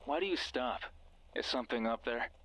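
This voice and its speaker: An actor playing a sinister, manipulative mysterious voice on a radio, bitter and commanding, narrating a horror game in the second person. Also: male voice, medium pitch, wildly swooping pitch, fairly quick, creaky, fairly bright tone, no echo, exaggerated voice, rough recording. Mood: coldly commanding.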